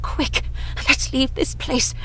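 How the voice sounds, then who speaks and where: A woman's voice: slow pace, a bit bright, a little hiss or hum. A woman voicing an anxious, devout convent woman in a horror game, fretful and pleading, motherly in her address. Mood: urgent, uneasy.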